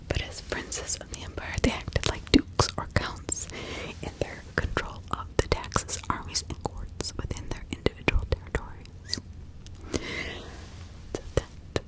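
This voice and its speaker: A woman speaking in a breathy, hushed whisper as an ASMR host, reading and role-playing in an informal, conversational way. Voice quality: breathy unvoiced whisper with some crackle.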